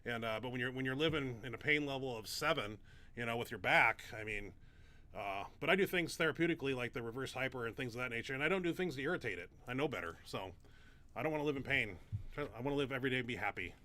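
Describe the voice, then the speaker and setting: Bright-voiced rambling man. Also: medium pitch, fast talker. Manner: rambling, many fillers and restarts. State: stuffed-up nose. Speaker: show host and powerlifter. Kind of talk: casual live Q&A chat.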